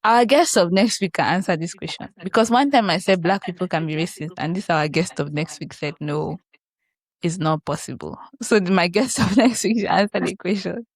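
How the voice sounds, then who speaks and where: A woman's voice: on the low side, moderate pace, dry recording. A woman co-hosting a podcast in casual chat, frank and opinionated, often chiming in with agreement. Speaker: podcast co-host.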